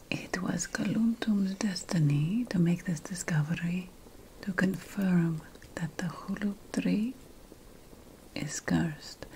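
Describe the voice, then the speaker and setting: A woman playing an ancient Sumerian witch priestess in a whispered ASMR fantasy role-play, breathy, solemn and deliberate as she addresses an apprentice. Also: fairly low pitch, darkish tone, a little hiss or hum, low-quality recording. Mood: mysterious, reverent.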